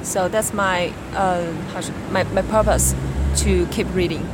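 A young woman from China with a Chinese accent, a native Chinese speaker answering interview questions hesitantly, with pauses and restarts. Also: lowish voice, fairly even intonation. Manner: hesitant, many fillers and restarts. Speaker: interviewee, graduate student. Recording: background noise.

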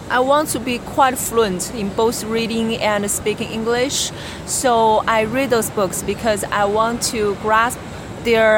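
Young woman, Chinese accent, non-native speaker, hesitant interview answers, steady mid pitch, noisy background. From China. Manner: hesitant, many fillers and restarts. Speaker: interviewee, graduate student. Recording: background noise.

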